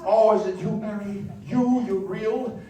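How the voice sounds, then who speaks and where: A man's voice: high-pitched, fairly even intonation, unhurried, dark tone. A man playing an overjoyed, stammering family man in a live stage radio play. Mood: overwhelmed, grateful. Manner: exuberant, stammering, exclaiming. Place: live performance in a dance hall. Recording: distant, echoey dance hall with audience.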